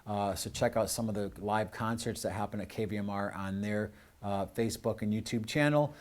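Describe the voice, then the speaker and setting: An American man speaking as host of a community show, addressing his audience off the cuff in an earnest, halting way with drawn-out pauses. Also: lowish voice. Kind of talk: unscripted closing address to audience with thanks.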